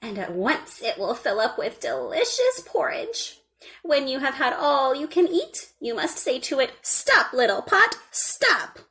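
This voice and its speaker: A woman's voice: high voice, very animated, measured pace, bright, forceful tone, voice pushed up, exaggerated voice. A woman voicing a kindly old storybook woman with a crackly voice, reassuring and instructive. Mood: earnest, instructive.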